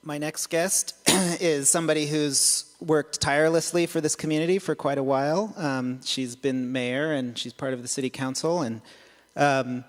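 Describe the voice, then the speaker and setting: A man hosting a live event, introducing the next speaker in a halting way with many fillers. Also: fairly high pitch, steady tone. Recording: long hall reverb.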